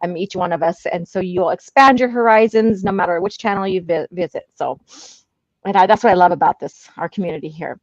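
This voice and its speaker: A woman's voice: fairly low pitch, fairly quick, no room sound, lo-fi sound. A woman hosting a livestream, chatting informally and reading out viewer comments. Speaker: livestream panel host.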